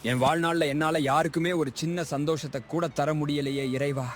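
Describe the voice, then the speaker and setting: A man's voice: a little high, fairly even intonation, moderate pace, a little hiss or hum, lightly acted. A man playing a poor, sickly labourer in a story drama, humble and pleading in manner. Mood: despairing. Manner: pleading, deferential. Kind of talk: dramatised moral story acting.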